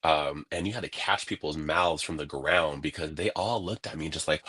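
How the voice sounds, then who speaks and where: A man from California, a guest on a video-call interview, telling stories in a casual, animated way and acting out what people said. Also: very deep voice, normal speed, husky. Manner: animated, laughing, acts out quoted voices.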